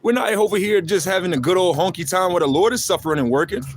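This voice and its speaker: A man's voice: sits high, fast. A man chiming in on a street sermon with crude, heated remarks. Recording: outdoor traffic noise.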